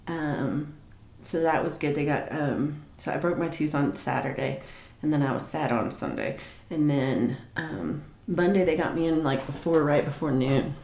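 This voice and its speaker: A woman hosting a casual livestream chat, rambling with many fillers and restarts, with a low voice. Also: normal speed, darkish tone, echoey room. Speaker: livestream host. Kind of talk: casual live chat reading comments.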